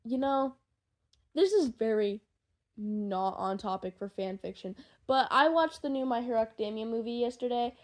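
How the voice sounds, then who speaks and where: High-voiced young woman, gushing and excitable. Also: normal speed. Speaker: podfic creator and fan fiction writer. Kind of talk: casual rambling chat to listeners.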